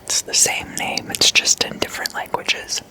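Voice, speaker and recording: An ASMR host speaking in an unvoiced, breathy whisper close to the microphone, telling stories casually and softly repeating words. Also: normal speed, rough recording.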